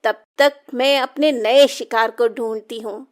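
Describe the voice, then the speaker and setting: Woman putting on a high-voiced, taunting cartoon witch. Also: fairly even intonation, a little slow, natural voice. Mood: vengeful, brooding. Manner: taunting, cackling. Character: vengeful, mocking young female witch with a camera. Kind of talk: children's cartoon horror story.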